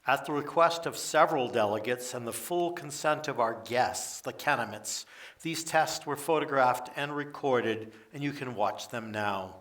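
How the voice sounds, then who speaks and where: A man's voice: mid-range voice, normal speed, a bit bright, natural voice. A man in community radio theater playing a formal official who addresses a meeting in an orderly, announcing manner. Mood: businesslike, formal. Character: formal male official chairing a solemn meeting. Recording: distant mic with crowd sounds behind.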